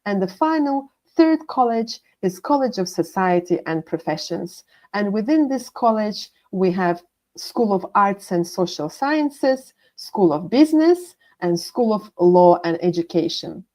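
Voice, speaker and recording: A woman's voice: medium pitch, expressive, measured pace, darkish tone. A woman international manager giving a scripted training presentation, steadily listing schools and colleges.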